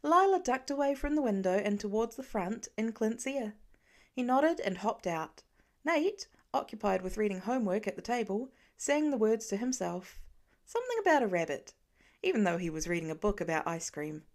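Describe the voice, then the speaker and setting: A woman's voice: medium pitch, sing-song, moderate pace. A woman reading a story aloud as scripted audiobook narration. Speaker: fan fiction audiobook reader.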